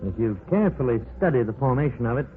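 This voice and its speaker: An actor playing a confident older archaeology professor who explains things in a measured, authoritative way, in a 1940s radio drama. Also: male voice, mid-range voice, expressive, lightly acted. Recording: tinny old radio broadcast with faint hiss.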